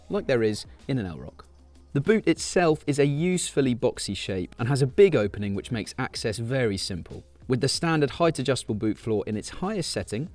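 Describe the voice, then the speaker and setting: A man reads a car review voice-over in a measured, informative way. His pitch rises and falls with plenty of life, and soft music plays quietly behind him.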